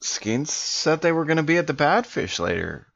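A man's voice: a little high, sing-song, normal speed, vocal fry, fairly bright tone, no echo. Male tabletop player, casual improvised delivery, dry and somewhat lo-fi recording. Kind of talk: casual in-character improvised chat.

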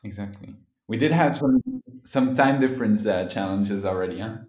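A man's voice: on the low side, normal speed, a little mellow, roomy sound. A man from Belgium, a musician guest on a podcast call, chatting casually with lots of hesitations and restarts. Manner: hesitant, many fillers and restarts. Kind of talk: casual interview chat. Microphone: phone call.

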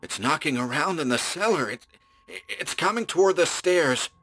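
A man voicing a terrified male radio announcer chained in a house, talking in frantic, pleading bursts that turn shrill. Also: lively intonation, moderate pace, bright, forceful tone. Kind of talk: audiobook character voice.

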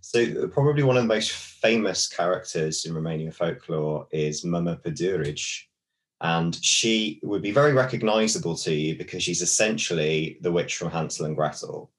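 A man's voice: deep voice, animated, moderate pace. A man co-hosting a podcast, chatting casually and explaining folklore with many fillers and restarts. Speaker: podcast co-host.

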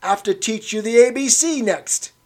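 A man's voice: sits high, normal speed, voice pushed up, close to an everyday voice. A man voicing a stubborn, grumbling old London working man, dropping his aitches. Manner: querulous, pugnacious, dropped aitches.

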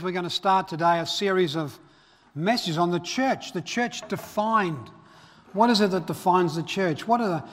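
A middle-aged man, born in Ireland and raised in Australia, preaching a sermon in a relaxed, conversational way, fillers and restarts. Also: high voice. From Northern Ireland. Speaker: pastor. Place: church auditorium. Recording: reverberant church hall.

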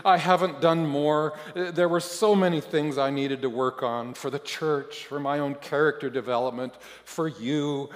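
Guy doing a flustered churchgoer pleading his case, stammery and defensive, pitch jumping all over, big echoey room. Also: wildly swooping pitch, slow pace. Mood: flustered, apologetic. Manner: stammering, protesting, eager to justify himself. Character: flustered, defensive believer arguing with God after death. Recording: echoey hall, long reverb.

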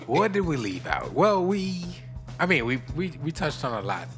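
A man's voice: a little high, very animated, moderate pace, faint music behind. A middle-aged man from Trinidad, a podcast guest, chatting playfully and joking with the host. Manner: playful, joking, laughs often. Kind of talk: casual podcast chat. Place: podcast studio.

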